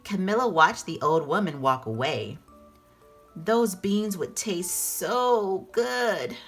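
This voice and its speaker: Slow, expressive woman storyteller. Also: low-pitched, husky. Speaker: children's storybook narrator. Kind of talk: expressive scripted read-aloud for children.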